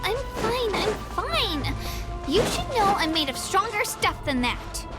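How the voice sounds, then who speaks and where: A woman's voice: extremely high pitch, big pitch swings, slow, exaggerated voice. A woman voicing a small, plucky young girl warrior in an anime-style video game, earnest and childlike. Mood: worried, urgent. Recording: loud music behind.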